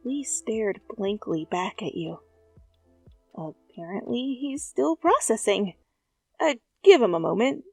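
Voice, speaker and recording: A young woman reads fanfiction as ASMR, breaking off into casual asides with laughs and swearing. She keeps an unhurried pace on a dry, close mic. Faint music runs underneath. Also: mid-range voice, big pitch swings, gravelly, slightly rough, no room sound. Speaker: fanfic reader. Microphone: close studio mic. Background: soft music.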